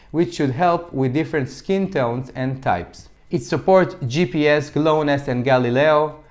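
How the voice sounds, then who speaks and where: A man whose first language is Hebrew, reviewing a sports watch in a plain, methodical voice-over with occasional stumbles. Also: a little high. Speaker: gear reviewer.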